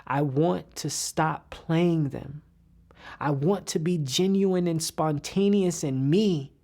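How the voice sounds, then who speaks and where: A woman reading poetry aloud in a deliberate, expressive, dramatic way, with phrases that can fall hushed and breathy. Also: deep voice. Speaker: poetry reader.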